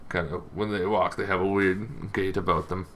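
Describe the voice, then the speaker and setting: A man hosting a tabletop gaming channel, giving a casual, informal explainer with halting, loosely phrased delivery. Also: deep voice, fairly even intonation, background noise.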